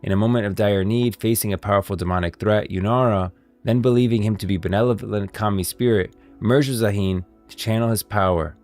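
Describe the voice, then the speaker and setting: A man's voice: lowish voice, a little mellow. A man streaming game lore commentary, moving between scripted narration and off-the-cuff explanation.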